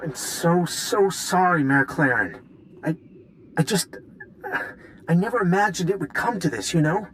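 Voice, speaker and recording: A man's voice: sits high, expressive, a little slow, a bit bright, slight background noise, rough recording. A man voicing a nervous, guilt-ridden weapons designer in a video game, hesitant and stammering as he apologises. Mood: anguished, remorseful. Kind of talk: video game cutscene acting.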